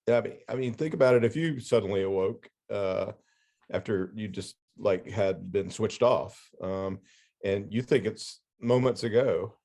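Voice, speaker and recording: A man with a low, gravelly voice and a mellow, dark tone talks through his thoughts as a guest editor. He hesitates and searches for words, keeping it casual and reflective. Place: remote video call.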